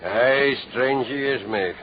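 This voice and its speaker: An actor playing a jovial, knowing sea captain with a salty nautical dialect, in old-time radio drama style. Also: male voice, mid-range voice, takes it slowly, close to an everyday voice. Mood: amused, knowing. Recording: muffled old radio, loud background din.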